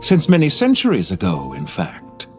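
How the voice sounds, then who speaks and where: A man's voice: fairly low pitch, wildly swooping pitch, normal speed, soft background music. A man voicing a cool, cynical, exacting banker in a video game, with formal and precise speech. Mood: dry, exacting.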